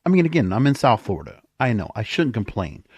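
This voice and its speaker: A man's voice: mid-range voice, big pitch swings, fast, lo-fi sound. A man hosting a podcast alone in a casual, conversational, rambling way, as if thinking aloud. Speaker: podcast host. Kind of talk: casual solo monologue. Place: home or small studio.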